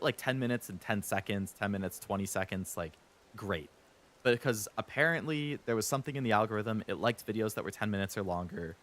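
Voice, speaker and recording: Man streamer with a low voice and occasional stutter. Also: fairly bright tone. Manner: stutters now and then when talking fast; rambling, chatty, lots of fillers. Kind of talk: casual chat while streaming a game.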